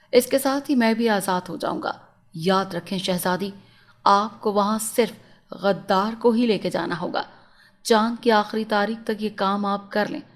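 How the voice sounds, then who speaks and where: A woman's voice: mid-range voice, lightly acted. A woman voicing a young captive prince in a storybook tale, earnest and pleading, speaking formally and respectfully. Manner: formal, respectful, urgent address.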